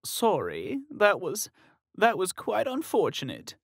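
A woman narrator voicing a composed woman who speaks calmly and a little condescendingly. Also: mid-range voice, takes it slowly, breathy edge, no room sound, clean studio sound, voice pushed up, exaggerated voice. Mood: cool. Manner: calm, mildly condescending.

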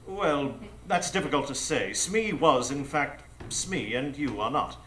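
Pompous, bright-voiced villainous pirate captain. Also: male voice, medium pitch, lively intonation, a little slow, slight background noise. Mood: grandiose. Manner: theatrical, pompous, mocking. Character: grandiose sneering male pirate captain and criminal mastermind. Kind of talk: live radio drama acting. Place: improv theatre stage before a live audience.

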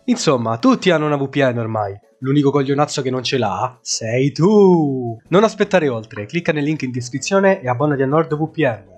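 A man with a rough, husky voice delivers a scripted sponsor message. He is tongue-in-cheek and mock-dramatic, like a comedic narrator. Some music plays behind him. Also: mid-range voice, lively intonation, normal speed. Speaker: YouTube host reading a sponsor ad. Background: background music.

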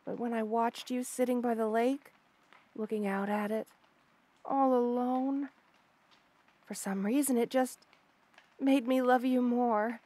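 Woman acting a high-voiced lovestruck snake girl. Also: steady tone, a little slow, long pauses, warm tone. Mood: shyly obsessive. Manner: hesitant, gushing, stammering coyly. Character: obsessive lovestruck female rattlesnake lamia, shy yet unsettling. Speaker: audio roleplay voice actor. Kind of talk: intimate audio roleplay monologue.

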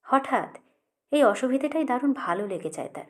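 A woman's voice: sits high, expressive, normal speed. A woman reading a literary short story as an audiobook narrator.

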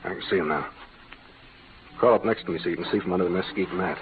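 An actor playing a steady, righteous American cowboy in a radio western, terse and commanding. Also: male voice, low voice, fast talker, natural voice. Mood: cool, watchful. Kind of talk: 1940s-50s western radio acting. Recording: noisy, narrow-band old radio recording.

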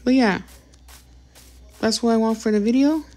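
A woman's voice: on the high side, moderate pace, dark tone, faint music behind. Apologetic rambling woman gaming vlogger. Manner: apologetic, rambling, many fillers. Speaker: gaming YouTuber. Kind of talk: casual gameplay commentary.

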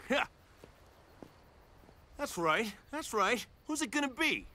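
An actor playing a cocky, vain young action star in a video game, brash, casual and wisecracking. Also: male voice, very high voice, very animated, slow, noisy background, heavily acted. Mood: pumped up. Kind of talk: video game cutscene acting.

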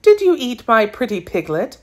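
Lady reading as a young princess in charge, polite but firm, formal, lots of pitch movement. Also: big pitch swings, a little slow, a bit bright, full character voice. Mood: gentle. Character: youthful princess ruler, polite but firmly commanding. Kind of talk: children's storybook reading.

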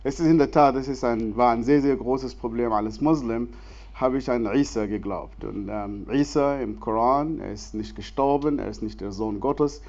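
A man's voice: medium pitch, normal speed, darkish tone, lo-fi sound. A man from Sudan speaking German, answering questions on a church stage in an earnest, hesitant way with frequent fillers. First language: Arabic. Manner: earnest, many hesitations, non-native grammar, laughs easily. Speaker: guest speaker, theologian. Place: church hall.

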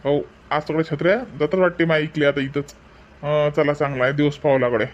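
A man's voice: a little high, fairly even intonation, brisk, dull audio, lightly acted. A man playing a chatty village umbrella seller in a comic cartoon, with folksy sales patter and a Varhadi Marathi accent. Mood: pleased, hopeful. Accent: Varhadi Marathi from Vidarbha.

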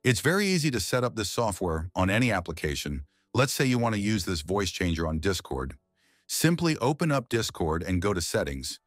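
A man hosting a scripted software tutorial, narrating as the presenter. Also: lowish voice, big pitch swings, fairly quick, dry recording, studio quality. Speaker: YouTube tutorial host.

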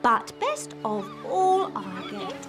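A woman voicing a kindly, brisk castle maid in a preschool cartoon, expressive and sing-song as if reading to a child. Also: very high voice, over music, heavily acted. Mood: cheerful, helpful.

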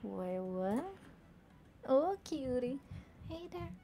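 A young woman streaming a game, murmuring casual commentary to herself and often slipping into a whisper, with a soft, soothing voice. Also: sits high, sing-song, drawn-out words, slight background noise.

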